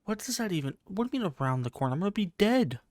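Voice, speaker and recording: A woman's voice: mid-range voice, big pitch swings, very fast, a little mellow, no echo, clean studio sound. A woman giving casual game playthrough commentary, sarcastically talking back to the characters and swearing freely. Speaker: gaming video host.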